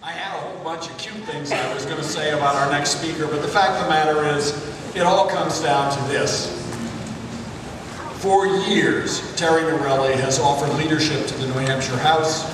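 A man's voice: a little high, steady tone, moderate pace. A man in his sixties giving a jovial, emphatic introduction at a podium microphone in a large convention hall. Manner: jovial, emphatic, fist-pumping. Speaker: convention speaker introducing the next speaker. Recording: echoey hall recorded from far off. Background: loud crowd laughter and noise.

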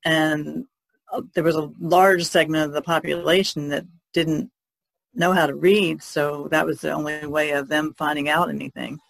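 A woman talks casually as a podcast panelist, thinking her way through in halting phrases. Her voice is creaky and recorded very dry. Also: sits low, level tone, no echo, poor audio. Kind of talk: casual discussion.

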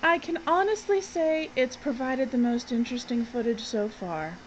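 A woman acting a brisk, sardonic, no-nonsense film director in an audio drama. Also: sits high, close to an everyday voice. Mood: cool, guarded. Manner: bossy, wry. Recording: dull, slightly noisy recording.

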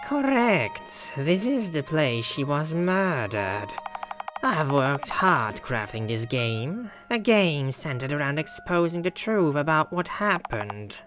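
Menacing crow-masked game villain, acted by a woman, formal, slow, swooping intonation, music behind. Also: medium pitch, sing-song. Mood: cold, controlled. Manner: formal, measured, coldly polite. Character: menacing masked raven-headed killer, calm game master of murder. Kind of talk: visual novel villain voice acting.

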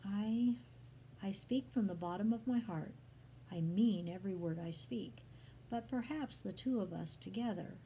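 A woman's voice: medium pitch, a little slow, dark tone, slight background noise, close to an everyday voice, rough recording. A woman voicing a blunt, earnest male preacher in a story, with emphatic delivery. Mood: defensive, earnest. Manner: emphatic, protesting. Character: fiery, stubborn male evangelist Paul, blunt and earnest.

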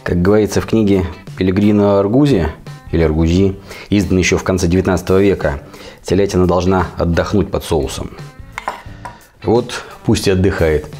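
A man in his forties, hoarse with a cold, giving casual, colloquial recipe instructions as a cooking show host. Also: low-pitched, fairly even intonation, a little fast, mellow. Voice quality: hoarse, husky. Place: home kitchen. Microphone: lavalier clipped to T-shirt. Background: guitar music.

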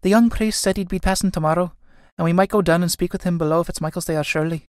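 A woman's voice: fairly low pitch, quick pace, a little mellow, dry recording, clean studio sound, voice pushed up, close to an everyday voice. A woman playing a worried young Irish girl, a younger sister in a stage drama, earnest and plain-spoken.

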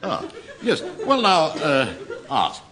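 An actor playing a pompous, scheming, blustering civil servant with a British accent in a classic radio comedy. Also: male voice, fairly high pitch, sing-song, slow pace. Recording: noisy old radio recording.

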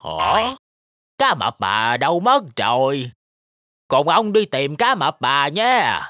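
A man voicing a caring old grandpa shark in a children's cartoon dub, speaking in an animated, exclamatory way. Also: on the high side, slow, no room sound, full character voice. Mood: puzzled, exclamatory. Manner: animated, exclamatory storytelling delivery.

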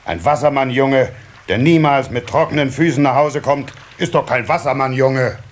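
A man's voice: medium pitch, moderate pace, close to an everyday voice. A man playing a good-humoured, easygoing water-sprite father in a children's radio play. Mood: unworried. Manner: calm, amused, explanatory. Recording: cassette recording with faint hiss.

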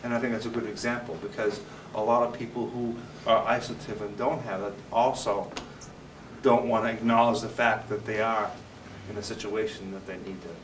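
A man's voice: lowish voice, level tone, a little fast, recorded from a distance. A man in the audience offering a thoughtful, explanatory remark. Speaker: audience member. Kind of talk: casual comment. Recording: echoey room, from afar, noisy.

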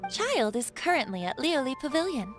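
A woman's voice: sits high, sing-song, loud music behind the voice. A woman voicing a poised, formal and loyal bank clerk with a cryptic manner in a video game. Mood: matter-of-fact. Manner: formal, measured, reverent. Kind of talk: video game character voice acting.

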